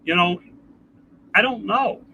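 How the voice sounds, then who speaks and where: An older man with a congested nasal voice, talking in a rambling, halting way in a casual home video update. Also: sits high, vocal fry, pushed and bright, highs cut off, a little hiss or hum. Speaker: vlogger. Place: outdoors.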